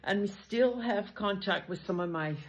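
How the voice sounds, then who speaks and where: A woman's voice: mid-range voice, normal speed. An older woman from northern Canada talks informally and reflectively to camera in Jamaican Patois, recorded outdoors on a phone. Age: older, 60s or 70s. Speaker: retired teacher living in Jamaica. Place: outdoors in rural garden greenery. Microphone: phone at arm's length. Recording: muffled phone audio with some noise.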